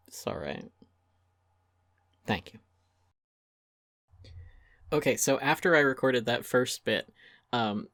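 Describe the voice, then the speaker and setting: A man's voice: medium pitch, fairly bright tone, no echo. Theatrical man giving a close, dry dramatic reading. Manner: theatrical, emphatic, with deliberate pauses. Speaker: reader and channel narrator. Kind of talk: dramatic reading of written articles.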